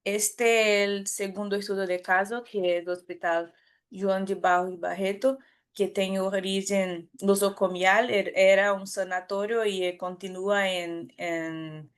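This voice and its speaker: Woman with a Brazilian Portuguese accent, halting. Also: mid-range voice, little pitch movement, clean tone. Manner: careful, halting, with restarts and self-corrections. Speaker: academic presenter. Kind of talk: conference talk.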